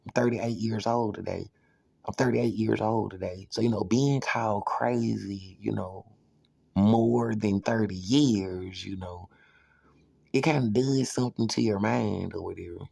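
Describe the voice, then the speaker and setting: A man in his thirties talks on and on in a loose, rambling monologue, leaning on "you know" at every turn. His voice sits low and rough, with a husky, fried edge. The sound is dry, with no room echo. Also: a little mellow. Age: 30s.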